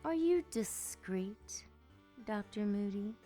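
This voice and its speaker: Slinky femme fatale, young, dark soft low voice, drags words out real slow, teasing and dangerous, radio drama. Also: female voice, lively intonation, soft background music. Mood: coy, teasing. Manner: slow, breathy purring, teasing and commanding. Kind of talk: audio drama acting.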